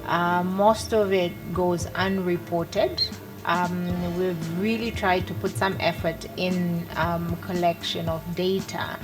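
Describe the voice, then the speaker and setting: A woman with a slightly low voice speaks in a thoughtful, hesitant way. Music plays clearly behind her. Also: fairly even intonation, slow pace, poor audio. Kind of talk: interview.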